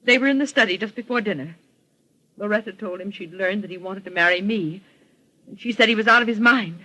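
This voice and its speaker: A woman's voice: medium pitch, fairly quick, bright, forceful tone, rough recording. An actress playing an anxious, genteel and aggrieved woman in a 1940s radio melodrama, earnest and dramatic.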